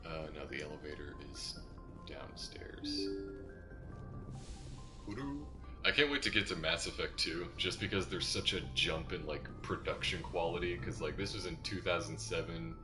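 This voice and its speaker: Very deep-voiced male game streamer. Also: normal speed, over music. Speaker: game streamer, ASMR roleplay channel host. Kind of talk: casual live gameplay commentary.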